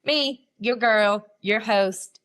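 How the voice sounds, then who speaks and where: A woman's voice: medium pitch, very slow, fairly bright tone, clean tone. A young woman hosting a casual solo podcast, with a nasal voice from a cold, talking in a candid, playful and chatty way. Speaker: podcast host.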